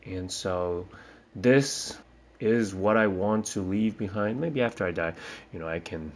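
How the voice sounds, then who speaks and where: Slow, hesitant man from Taiwan. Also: lowish voice, a little hiss or hum. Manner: hesitant, rambling, frequent fillers and restarts. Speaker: teacher. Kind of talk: casual spoken reflection.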